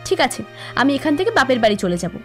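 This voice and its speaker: A woman's voice: sits high, sing-song, quick pace. A woman playing an emotional village family woman in a melodramatic cartoon, pleading and indignant. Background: music.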